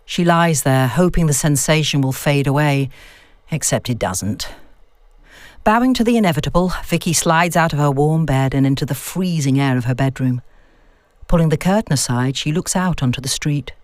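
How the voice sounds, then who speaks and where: A woman reading a scripted story as narrator, with expressive storytelling delivery. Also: low-pitched, moderate pace, dry recording.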